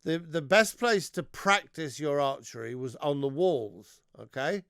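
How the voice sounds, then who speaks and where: A man from England hosting a radio interview and telling stories in a lively way. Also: on the high side, measured pace, no room sound, clean studio sound. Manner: chatty storyteller, animated. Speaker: radio host.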